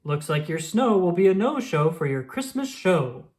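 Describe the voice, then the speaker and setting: A woman's voice: fairly low pitch, sing-song, normal speed, darkish tone. A woman voicing a greedy, scheming man who is a cartoon mayor, with a sly, gloating manner.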